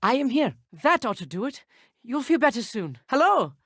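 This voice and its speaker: An actor playing an earnest, bookish teenage schoolboy wizard in a video game, polite and a little nervous, with precise, slightly formal speech. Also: male voice, extremely high pitch, sing-song, moderate pace, a bit bright, dry recording, studio quality, full character voice. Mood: earnest, friendly. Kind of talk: scripted video game dialogue.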